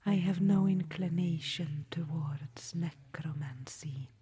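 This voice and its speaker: A woman voicing an aloof ruler of a deep underground people, speaking in a formal, archaic whisper. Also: takes it slowly, mellow, slightly rough, heavily acted. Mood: solemn.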